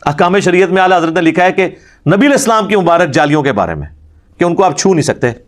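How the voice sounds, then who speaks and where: A man's voice: high voice, lively intonation, fast. A man giving an argumentative religious lecture.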